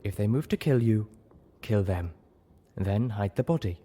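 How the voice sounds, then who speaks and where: Man voicing a grave, haunted zebra mare, low-voiced. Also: animated, warm tone, slight background noise. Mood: grim, hushed. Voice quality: low and heavy. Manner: grave, halting short commands. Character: scarred zebra mare, weary, haunted former slave.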